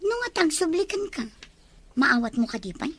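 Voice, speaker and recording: High-pitched nosy auntie played by a woman. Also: very animated, moderate pace, a bit bright, full character voice. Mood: pointed, concerned. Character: nosy, blunt older female relative, an auntie. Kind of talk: Ilocano audio drama acting.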